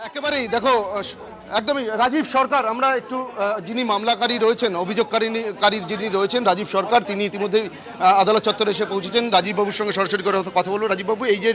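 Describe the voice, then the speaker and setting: A man's voice: high voice, fairly even intonation, fast, fairly bright tone. A man reporting live outdoors, with street and crowd noise around him. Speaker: field news reporter. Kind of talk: live on-location reporting and interviewing. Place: outdoors outside a city court.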